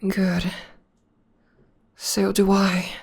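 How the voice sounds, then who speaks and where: A woman playing a gentle, loving witch girlfriend in an intimate ASMR roleplay, speaking softly and tenderly in a breathy half-whisper. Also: medium pitch, completely flat delivery, full character voice. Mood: soothing, affectionate. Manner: tender, slow, soothing. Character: gentle, loving witch girlfriend comforting her demon partner.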